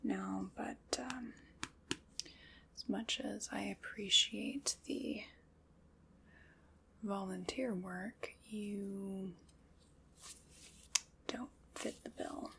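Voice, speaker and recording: A woman whispers as an ancient undead matriarch who leads a skeleton army, teasing and dry as she takes her time. Her hushed voice is slow and a little gravelly, with a faint hiss of noise behind it. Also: mid-range voice, steady tone, full character voice. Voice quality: soft whispering. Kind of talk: ASMR roleplay.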